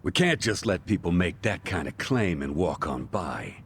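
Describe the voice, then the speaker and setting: An actor voicing a suspicious, menacing gangster guard in a video game dub, sneering and confrontational. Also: male voice, sits low, big pitch swings, normal speed, a little hiss or hum.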